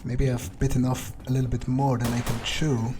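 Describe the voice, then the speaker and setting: A man role-playing an arrogant, brooding vampire in a game playthrough, musing his thoughts aloud in a halting, dryly profane way. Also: medium pitch, little pitch movement, noisy background, close to an everyday voice. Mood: wry, musing. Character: brooding, arrogant, blood-hungry male vampire.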